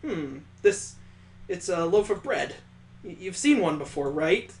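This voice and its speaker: A man voicing an awkward, nervous young man from a visual novel, babbling and stammering haltingly. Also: sits high, sing-song. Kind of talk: visual novel character reading. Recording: echoey, roomy sound.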